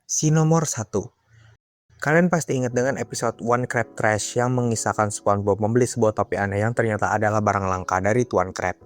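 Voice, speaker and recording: Male video storyteller, lowish pitch, casual slangy address to the audience, background music. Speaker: video narrator. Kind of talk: storytelling narration for online video. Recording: music behind the voice.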